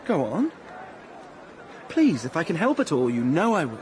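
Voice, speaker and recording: An actor playing an evasive, socially ambitious young staff officer in a radio drama, affable but condescending. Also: male voice, fairly high pitch, wildly swooping pitch, normal speed, darkish tone. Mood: insistently polite. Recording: busy crowd and street noise behind.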